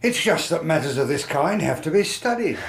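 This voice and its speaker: Male British radio-drama inspector: by-the-book, placid, fry in the voice, roomy acoustic. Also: fairly high pitch, sing-song, moderate pace, a little hiss or hum. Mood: placid, obstructive. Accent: British English. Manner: placid, pedantic, grudging. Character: stolid, unhurried male police inspector, by-the-book.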